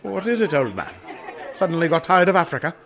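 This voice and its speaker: A man acting a doomed, anguished gentleman in a radio drama, with husky voice and expressive old-fashioned upper-class phrasing. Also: on the high side, brisk, some chatter behind. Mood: hearty surprise. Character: doomed gentleman haunted by a family suicide curse, anguished.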